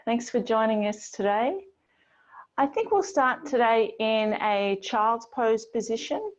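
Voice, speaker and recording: A woman teaching a yoga class, giving gentle, unhurried guidance with pauses between phrases. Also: a little high, lively intonation. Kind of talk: guided yoga class instruction. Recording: slightly dull, lo-fi sound.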